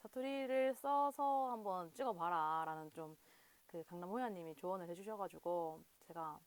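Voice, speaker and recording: A woman's voice: on the low side, moderate pace, no room sound. A woman talking casually to camera in a Korean regional dialect, rambling with many fillers and frequent laughs. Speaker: video host.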